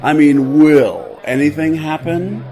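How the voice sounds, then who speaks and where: A man's voice: on the high side, takes it slowly, mellow, soft background music. A man playing a vain, scheming young adventurer in an audio drama, flippant and argumentative. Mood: exasperated.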